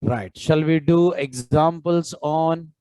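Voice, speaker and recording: A man's voice: on the high side, slow, mellow, clean tone, no room sound. A man teaching a live online coding class, nudging his students to respond and repeating his prompts. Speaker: programming instructor.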